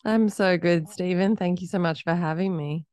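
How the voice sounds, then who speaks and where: A woman's voice: fairly low pitch, moderate pace, a little mellow. A woman in her thirties with an Australian accent, giving conversational answers as an interview guest. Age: 30s. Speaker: podcast guest, entrepreneur.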